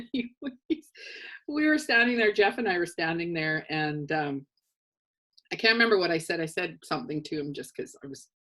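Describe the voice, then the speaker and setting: Low-voiced woman telling stories. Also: a bit bright. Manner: chatty storyteller, dry humor, laughs often. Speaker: co-host. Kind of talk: casual chat on a live show.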